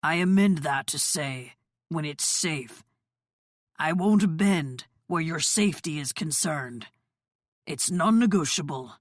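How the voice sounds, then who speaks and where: An audiobook figure of a powerful crime-boss father, acted by a woman. The voice is on the low side and calm, with firm authority. The sound is close and dry. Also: slow, no echo. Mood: firm, protective. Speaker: audiobook narrator voicing dialogue. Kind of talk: audiobook character dialogue.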